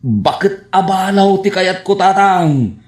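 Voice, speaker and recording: A man with an Ilocano accent playing a gullible, lovestruck, excitable suitor in a comedy radio drama. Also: high voice, takes it slowly. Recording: roomy, echoing radio drama sound.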